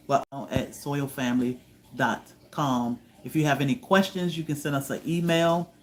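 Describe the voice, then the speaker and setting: A man from Virginia, hosting a homestead livestream, chatting with viewers in a relaxed way, often letting his voice trail off softly. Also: on the high side. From Virginia, USA. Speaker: homestead channel host. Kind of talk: livestream chat answering viewers.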